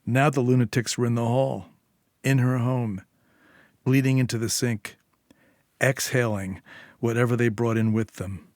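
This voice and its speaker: A man's voice: mid-range voice, steady tone, measured pace, pauses often, darkish tone, no room sound, clean studio sound. A man reading a dramatic short story as a storyteller, in scripted narration.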